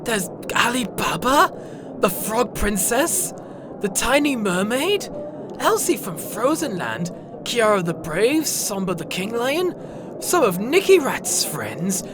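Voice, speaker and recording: A woman playing an observant, eager adventurer in an amateur audio play, with a deliberate delivery. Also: a little high, very animated. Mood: curious. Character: observant young adventurer eagerly spotting characters. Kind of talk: amateur audio drama acting. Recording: noisy home-style recording.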